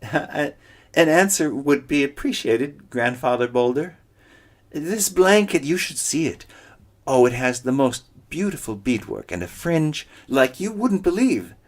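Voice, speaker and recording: A man voicing a vain, boastful male coyote trickster in a story, with a high, squealing, exaggerated falsetto and a wheedling, prattling manner. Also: sing-song, unhurried. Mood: indignant. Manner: wheedling, prattling, shrill outbursts.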